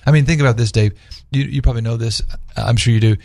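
A man's voice: medium pitch, fairly even intonation, quick pace, vocal fry, darkish tone, dry recording, clean studio sound. A man speaking as a guest on a radio talk panel, earnestly telling stories and posing rhetorical questions in conversational religious discussion.

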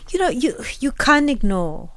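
A woman's voice: high-pitched, big pitch swings, moderate pace, vocal fry, fairly bright tone. A woman hosting a radio talk show who clarifies and restates what her guests are saying. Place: radio studio.

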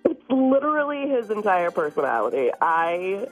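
A woman's voice: mid-range voice, very animated, moderate pace, breathy edge, faint music behind. A woman calling in to a radio show, blunt and exasperated. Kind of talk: blunt phone-in chat. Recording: tinny phone line.

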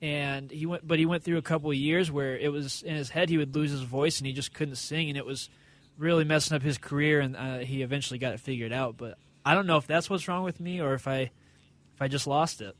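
A man singer talks casually and rambles, often stopping to correct himself. His voice is fairly high and bright, with a forceful edge. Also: fairly even intonation, fast. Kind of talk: casual chat.

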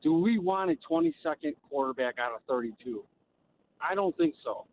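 High gravelly man on noisy phone. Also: animated, moderate pace. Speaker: radio show caller. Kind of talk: casual sports opinion. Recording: dull noisy phone call.

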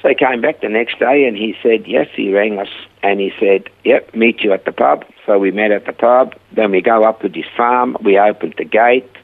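Older Swiss man, rough, low voice, a guest acting out his own stories on radio. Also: moderate pace, narrow, tinny audio. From Switzerland. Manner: animated storyteller acting out quoted dialogue. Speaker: guest telling his life story. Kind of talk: casual storytelling interview.

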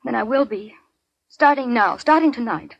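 An earnest, troubled heroine in a 1940s radio drama. Her voice is fairly high and breathy. She pleads with conviction in a dry, close studio sound. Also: lively intonation, normal speed, no room sound, highs cut off. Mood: hurt, resolute. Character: earnest, troubled female city official, racketeer's sweetheart.

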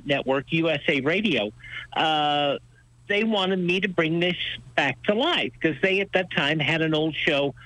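A man's voice: a little high, pushed and bright, no room sound, poor audio. An older American man telling stories in a radio interview, with an emphatic, folksy way of putting things. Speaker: radio show host being interviewed as guest. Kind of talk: conversational radio interview, storytelling.

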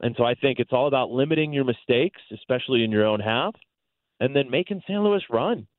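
A man's voice: mid-range voice, lively intonation, a little fast, no echo, phone-quality sound. A man speaking as a guest sports announcer, giving articulate, conversational analysis in long explanatory runs. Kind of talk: conversational soccer analysis on a sports talk show.

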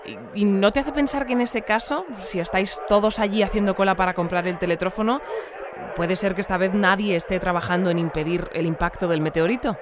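An actress playing a composed woman news presenter in a scripted radio drama bulletin, formal and measured in her delivery. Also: mid-range voice, steady tone, crowd noise, natural voice. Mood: probing, composed.

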